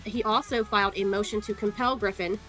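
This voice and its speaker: A woman's voice: medium pitch, fairly even intonation, brisk, a bit bright, soft background music. A woman giving casual, sarcastic commentary as she walks through court records, scornful and free with swearing. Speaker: online commentator.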